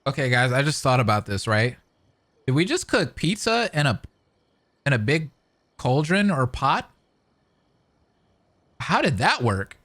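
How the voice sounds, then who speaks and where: A woman's voice: rumbling low, very animated, a little slow, long pauses, a bit bright. A woman doing casual, joking reaction commentary over a video game, talking in loose slang. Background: game music.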